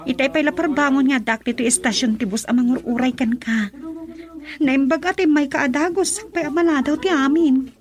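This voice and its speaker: An actress as a young woman in a radio drama, very high in pitch. She is distressed and urgent, pleading as if confessing a secret. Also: moderate pace, natural voice. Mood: anxious.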